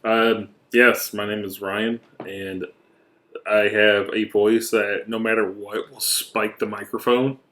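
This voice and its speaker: Low-voiced man bantering casually on a podcast. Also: flat delivery, slow, fairly bright tone. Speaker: podcast co-host. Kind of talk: casual banter between friends.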